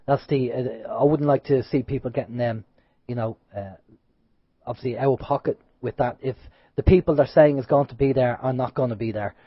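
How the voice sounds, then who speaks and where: A man's voice: mid-range voice, fairly quick, breathy edge, no room sound. A man co-hosting an internet radio show with a sore throat and a slightly nasal voice, chatting casually and rambling a little. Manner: chatty, rambling, lively openings then trailing off. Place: radio studio. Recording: dull lo-fi sound, dry studio.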